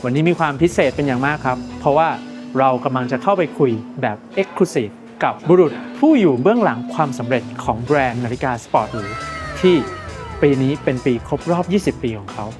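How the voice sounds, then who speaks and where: A man working as a field host, eager and formal; his first language is Thai and he asks questions in halting English. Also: medium pitch, expressive. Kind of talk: host interview. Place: watch boutique in a mall. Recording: music playing behind.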